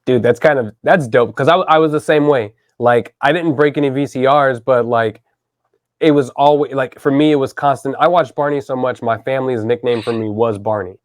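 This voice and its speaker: A man's voice: mid-range voice, moderate pace, dry recording, studio quality. A man in his thirties hosting a casual podcast interview, enthusiastic and chatty, with lots of "dude" and "man". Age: 30s. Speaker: podcast host.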